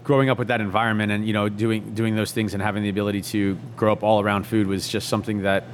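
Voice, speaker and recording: Man, thirties, chef interview guest, lowish voice, easygoing, halting with fillers, faint background hiss. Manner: relaxed, easygoing, many fillers and restarts. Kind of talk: casual reflective interview.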